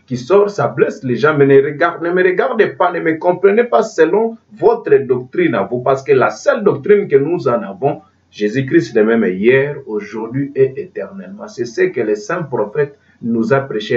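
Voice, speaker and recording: A man preaching in a language that is not his first, his voice fairly high and swinging widely in pitch as he presses each point. He restarts phrases and keeps checking that his listeners follow. The recording sounds dull and lo-fi, caught from some distance away. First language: not French. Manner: emphatic, repeats and restarts, asks listeners if they understand. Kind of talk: sermon.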